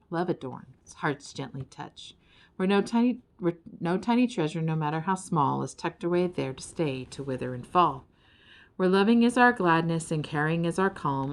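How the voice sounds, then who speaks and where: Little girl storybook creature, sorrowful daydreamer, soft-mannered and wistful female reader performs. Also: sits low. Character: sad, dreamy little girl fish-bird creature.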